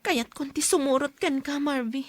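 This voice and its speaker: Actress as an earnest high-voiced young daughter. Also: female voice, moderate pace, natural voice. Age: young adult. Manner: earnest, pleading. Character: kind, pleading young daughter of a rich family. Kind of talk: Ilocano radio drama acting.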